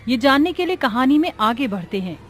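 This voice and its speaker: A woman's voice: high voice, big pitch swings, a little fast. A woman reading scripted narration for a cartoon story.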